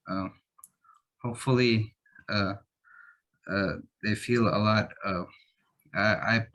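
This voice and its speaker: Young adult man from Afghanistan, lowish voice, halting interview guest. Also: fairly bright tone. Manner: halting, many uhs. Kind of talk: casual interview talk.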